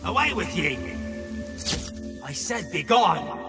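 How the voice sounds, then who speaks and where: An actor playing a dazed but defiant Irish assassin in a video game, with an Irish accent and wary, breathy outbursts. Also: male voice, high voice, big pitch swings, slow pace, music in the background, exaggerated voice. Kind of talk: video game cutscene acting.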